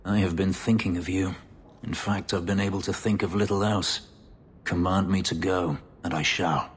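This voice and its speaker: Man acting a deep-voiced brooding elf. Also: fairly even intonation, slight background noise, close to an everyday voice. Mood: guarded, tender. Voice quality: deep, low voice. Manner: halting, solemn, measured delivery. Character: brooding, guarded male elf, an escaped former slave. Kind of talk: dramatic video game dialogue. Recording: reverberant hall sound with music underneath.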